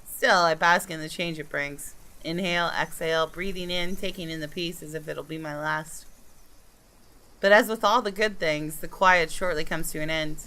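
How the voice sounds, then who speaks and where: Bright, forceful low-voiced woman reading aloud. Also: fairly even intonation, normal speed, poor audio. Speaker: gaming video creator reading a game aloud. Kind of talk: expressive narration of visual novel text.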